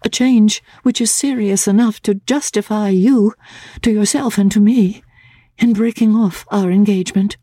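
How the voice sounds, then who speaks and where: A woman voicing an anxious, earnest young gentlewoman in a classic-novel audiobook, speaking haltingly and repeating her words. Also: mid-range voice, fairly even intonation, no room sound, clean studio sound, voice pushed up, natural voice. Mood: grave, reluctant.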